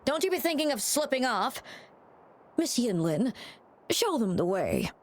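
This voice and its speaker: A woman's voice: fairly high pitch, very animated, a little slow. A woman playing a bossy, scolding old woman in a video game, blustering and fiercely protective. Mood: indignant.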